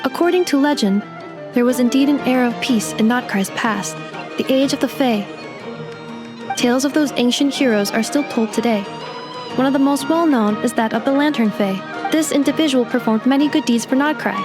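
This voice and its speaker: A woman's voice: high voice, fairly quick, natural voice. A woman voicing a polite female robot guide assistant who speaks in a precise, formal, factual way, in video game dialogue with music behind. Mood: calm.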